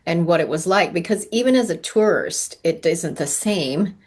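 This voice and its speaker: A woman hosting a podcast interview, warm and gently encouraging. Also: lively intonation, normal speed, breathy edge. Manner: warm, gently encouraging, pitch rises on greetings. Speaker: podcast host.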